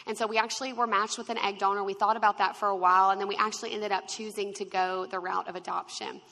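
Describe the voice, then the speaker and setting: A woman's voice: mid-range voice, fast, gravelly, long reverb tail. A woman in her twenties telling her story with laughter, acting out the people she quotes. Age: 20s. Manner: expressive, acts out quotes, laughs often. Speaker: guest sharing her testimony. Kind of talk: animated storytelling.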